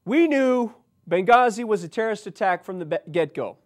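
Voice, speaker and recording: High-pitched American man, political commentator. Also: animated, normal speed. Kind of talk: political commentary, reading news articles aloud with asides. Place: indoor studio set, seated at a desk.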